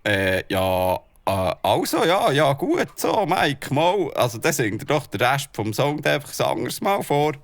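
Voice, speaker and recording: A man's voice: medium pitch, sing-song, measured pace. A man with a Swiss German accent, voicing a cheerful dad who writes and sings silly songs in a children's audio drama. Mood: flustered. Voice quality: sings playfully. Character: cheerful songwriting dad, adult male.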